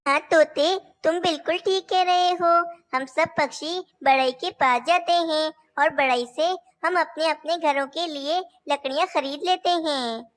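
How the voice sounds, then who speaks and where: A woman's voice: sits high, a little slow, few pauses. A woman voicing young female cartoon birds, caring and a little bossy, in a childlike, declamatory way. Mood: advising. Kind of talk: Hindi animated children's story dialogue.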